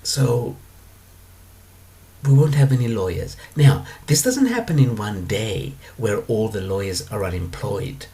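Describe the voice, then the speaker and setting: A man talking alone as a spiritual teacher, explaining his ideas in a calm, unhurried way with drawn-out pauses. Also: fairly low pitch. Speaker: spiritual teacher answering questions. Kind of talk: monologue lecture.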